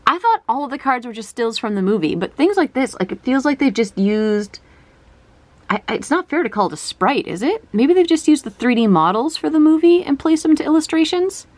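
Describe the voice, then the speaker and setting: A woman's voice: high voice, sing-song, brisk, no echo. A woman vlogger chatting casually while opening trading cards, musing aloud and asking her viewers questions. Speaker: card collecting vlogger. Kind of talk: casual card opening chat.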